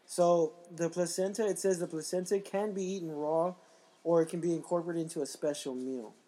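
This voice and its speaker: A man hosting a casual podcast, his voice a little raspy, joking and reading things aloud. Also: a little high, steady tone, fairly quick, warm tone. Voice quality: slightly raspy. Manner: laughing, joking, reads aloud. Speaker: podcast host.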